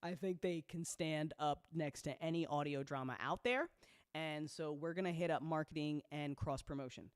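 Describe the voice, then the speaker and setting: A woman's voice: sits low, normal speed, smooth, no room sound, clean studio sound. A woman talking casually and off the cuff as an audio drama producer updating fans, candid, colloquial and full of swearing, emphatic when she makes a point.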